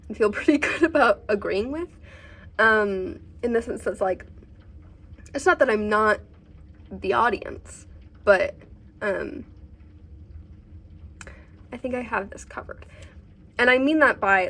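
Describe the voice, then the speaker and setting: A woman chatting casually about books in a vlog, halting as she thinks aloud. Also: on the high side, a bit bright. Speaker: book reviewer and vlogger.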